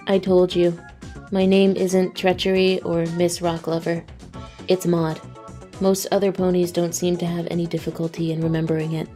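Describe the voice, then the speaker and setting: Woman doing a deadpan cartoon pony, totally monotone, mellow dark voice, super literal, faint music. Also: lowish voice, moderate pace, lightly acted. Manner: deadpan, flat, matter-of-fact. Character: deadpan, literal-minded female earth pony rock lover. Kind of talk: cartoon-style fan audio drama.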